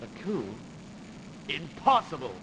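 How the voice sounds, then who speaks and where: A man's voice: fairly high pitch, very animated, takes it slowly. A man playing a disgruntled, idealistic young nobleman in a video game, speaking theatrically with haughty indignation. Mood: indignant. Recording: noisy, reverberant early CD game audio.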